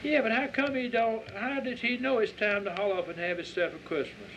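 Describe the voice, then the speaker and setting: An earnest little Southern country girl, voiced by a man, pitched high and quick to contradict. She has a pleading, folksy storybook manner, heard on a dull recording. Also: a bit bright. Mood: questioning. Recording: dull lo-fi audio with faint noise.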